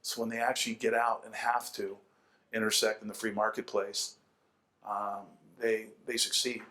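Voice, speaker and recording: Middle aged guy on a panel, lowish flat voice, giving his opinion and repeating stuff for emphasis. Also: normal speed. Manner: opinionated, emphatic repetition of key phrases. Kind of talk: extended spoken argument at a panel discussion.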